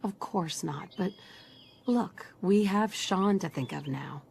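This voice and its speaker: A woman playing an affectionate, teasing young wife and mother in a video game, casual and gently coaxing. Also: fairly low pitch, slow, gravelly, breathy edge, heavily acted. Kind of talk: naturalistic video game voice acting. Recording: game audio, faint background noise.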